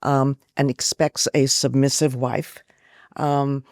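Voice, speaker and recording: A woman's voice: extremely low pitch, flat delivery, takes it slowly, dry recording, studio quality. A woman journalist conducting a conversational interview, posing questions and reflecting on the answers.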